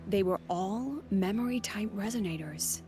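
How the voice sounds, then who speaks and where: A poised young female academy president played by an actress speaks in a knowing, measured way. Her pitch is medium, and game music sits clearly behind the dialogue. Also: lightly acted. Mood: explaining, knowing. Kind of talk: video game voice acting.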